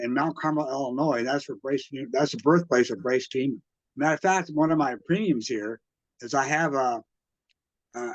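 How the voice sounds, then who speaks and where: Halting older American man, dry dull recording. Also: medium pitch, darkish tone, dry recording. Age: 60s. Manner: halting, hesitant, backtracks often. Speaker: radio collector and museum exhibitor, interviewee. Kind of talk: casual reminiscing interview.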